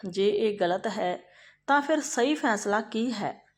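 A woman's voice: medium pitch, lively intonation, takes it slowly. A woman storyteller voicing a stern, proud king who commands and questions in a folk tale. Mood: indignant.

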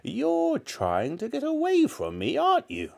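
A man acts out a wicked little old grandmother from a children's storybook. The voice is pitched very high and swings wildly up and down. This granny is bossy and scornful, snapping out every order and relishing anything disgusting. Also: huge pitch swings, unhurried, creaky, full character voice. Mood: menacing. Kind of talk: storybook character reading.

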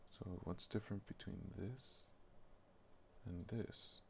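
A man livestreaming from his computer, thinking aloud casually in halting mutters as he works. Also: sits low, gravelly. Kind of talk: casual think-aloud while working on game files. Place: indoors at a computer, keyboard clicks behind. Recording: faint background noise, keyboard clicking.

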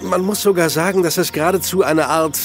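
An actor playing a hesitant country doctor in a radio play, speaking in a grave, reluctant way. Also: male voice, on the high side, steady tone, fairly quick, a bit bright, faint music behind. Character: Dr Fremantle, hesitant male country doctor and botanist. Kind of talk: audio drama dialogue acting.

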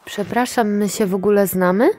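A woman's voice: medium pitch, fairly quick, a little mellow, soft background music, lightly acted. An actress playing a wary, cynical, sweary woman moonlighting in underground sex work, in a radio play. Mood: evasive. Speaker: actress in an audio drama.

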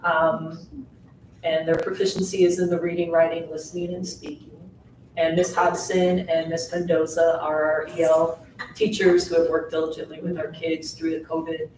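A woman giving the assistant superintendent's report at a school board meeting, in a measured way with some fillers. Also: low voice, level tone, a little mellow, a little hiss or hum. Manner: measured, reads out figures with fillers. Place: large meeting room with long tables. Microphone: table mic from far off. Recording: echoey boardroom picked up from far off.

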